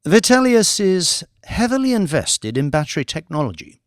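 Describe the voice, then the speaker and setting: A man voicing a calm, scheming male banker who steers others with hints, in an audiobook. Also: high-pitched, no echo, clean studio sound, voice pushed up. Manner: measured, leading.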